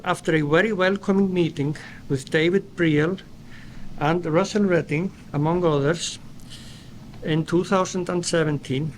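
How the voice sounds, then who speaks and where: Middle-aged icelandic guy reading his speech off paper, slightly high voice, faint room hum behind. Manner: formal, measured delivery read from paper. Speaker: company founder giving a speech. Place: indoor event at a dairy plant.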